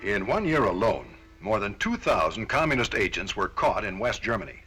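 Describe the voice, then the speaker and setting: A man's voice: on the low side, sing-song, normal speed, pushed and bright, faint music behind. An American man delivering stern, formal, authoritative scripted narration as presenter of a Cold War-era military training film.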